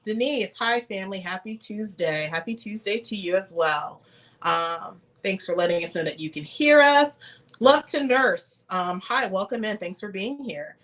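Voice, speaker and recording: A woman's voice: medium pitch, expressive, normal speed, a bit bright. A woman hosting a casual livestream chat who sounds congested and nasal from a cold, greeting viewers with frequent "um"s. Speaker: host and travel advisor.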